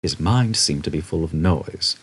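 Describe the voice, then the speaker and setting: A man's voice: a bass voice, animated, unhurried, breathy edge. A man reading a comic novel aloud as a scripted storybook narration. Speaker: audiobook narrator.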